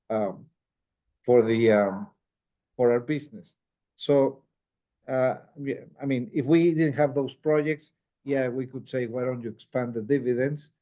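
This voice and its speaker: Mellow-voiced male executive, explaining. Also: mid-range voice. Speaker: company executive. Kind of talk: answering questions on an earnings call.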